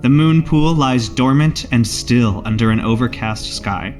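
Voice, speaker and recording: A man's voice: medium pitch, measured pace, darkish tone, faint music behind. A man narrating a tabletop game as game master and podcast host.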